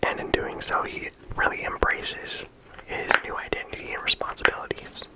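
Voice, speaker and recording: A person whispering in ASMR style, talking through a discussion in a casual, halting way with lots of filler words. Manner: casual, halting phrasing with frequent "like" and "you know". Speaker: video creator and host.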